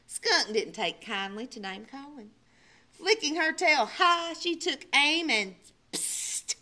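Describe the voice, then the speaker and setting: A woman storyteller reads for children in a high, bright voice. She is theatrical and emphatic, taking her time and swooping widely in pitch, sometimes dropping to a hush. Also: expressive, takes it slowly. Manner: theatrical, emphatic, with hushed dramatic drops. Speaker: librarian storyteller. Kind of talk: animated children's storybook narration.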